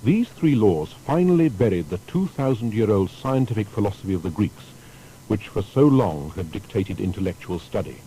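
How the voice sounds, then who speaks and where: A man narrating a scripted historical documentary in a formal storytelling manner with deliberate emphasis. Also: lively intonation, warm tone, poor audio. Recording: faint background noise with rumble.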